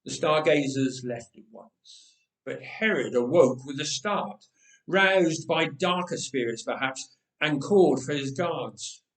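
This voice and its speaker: A man narrates as a storyteller with deliberate, unhurried phrasing and dramatic pauses. His voice sits a little high for a man and has a clear roomy echo around it. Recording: roomy echoing voice recording.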